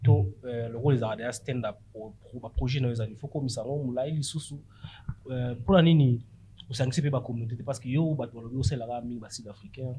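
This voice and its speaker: A man's voice: mid-range voice, fairly even intonation, rapid-fire, mellow. A man with a Congolese accent hosting a casual podcast interview, switching between languages and often echoing his guest with short acknowledgements, in a dull recording. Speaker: podcast host. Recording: dull audio with faint noise.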